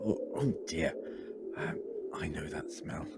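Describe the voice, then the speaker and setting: A man playing an inquisitive, very tall time traveler in an audio drama, speaking in a deliberate, analytical and dryly theatrical way. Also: a bass voice, lively intonation, vocal fry, heavy background noise, exaggerated voice. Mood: grave.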